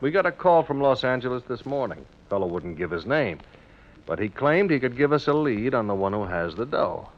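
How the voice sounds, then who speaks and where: A man's voice: mid-range voice, big pitch swings, fairly quick, lightly acted. An actor playing a brusque, knowing male insurance adjuster boss in 1950s radio drama style. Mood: knowing, brisk. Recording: dull vintage radio recording.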